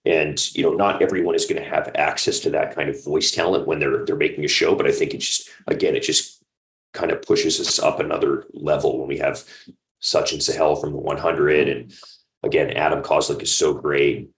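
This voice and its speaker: A very low-pitched man speaks in an echoey room. He talks in a casual interview style, enthusiastic and rambling, with lots of "like" and "you know". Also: level tone, normal speed, a little hiss or hum. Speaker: audio drama creator and producer, guest.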